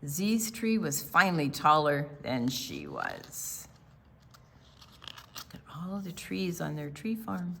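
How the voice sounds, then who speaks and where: An older woman reading aloud and explaining things to young children in a deliberate, teacherly, expressive way. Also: low voice, gravelly, a little hiss or hum. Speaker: children's storytime presenter.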